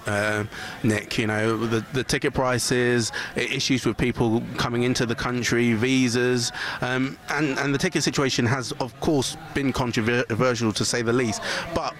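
A man with a slightly hoarse voice, presenting news on location in a live, chatty exchange. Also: mid-range voice, a bit bright.

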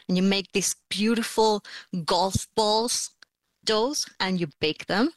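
A woman from Argentina, a mother, describing her favourite snack with gentle humour in an interview. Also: mid-range voice, takes it slowly, no echo. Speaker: mother, listener contributor. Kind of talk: conversational interview answers.

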